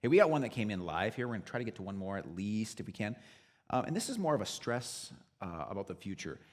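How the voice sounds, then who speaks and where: Low-voiced guy hosting a church parenting panel, laid back, big echoey hall. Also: very animated, fast talker. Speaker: moderator. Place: church auditorium.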